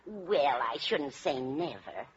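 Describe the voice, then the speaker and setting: Fussy, animated older landlady. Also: deep voice, slow pace, exaggerated voice. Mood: chatty, dotty. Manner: fussy, coaxing, absent-minded. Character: kindly, scatterbrained older landlady, fussing and motherly. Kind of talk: 1940s radio sitcom acting. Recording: muffled, noisy vintage radio recording.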